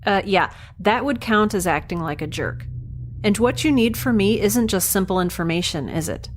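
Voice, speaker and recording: A woman acting as a young female researcher held captive in a sci-fi audio drama. She is sardonic and defiant, bargaining in a plain, mid-pitched voice over faint background noise. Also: animated, moderate pace, natural voice. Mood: defiant, sarcastic. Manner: wry, plain-spoken, sarcastic.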